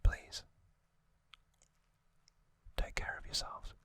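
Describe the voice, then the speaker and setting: Slow whispering man, ASMR host. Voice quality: soft breathy whisper. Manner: slow, gentle, reflective delivery with pauses.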